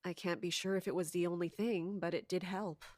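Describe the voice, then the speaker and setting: A woman playing a scheming, authoritative commander in an audio drama, speaking in a measured way. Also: lowish voice, steady tone, a little mellow, natural voice. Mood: cool, commanding. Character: scheming, commanding woman in charge of palace guards. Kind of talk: audio drama acting with first-person narration.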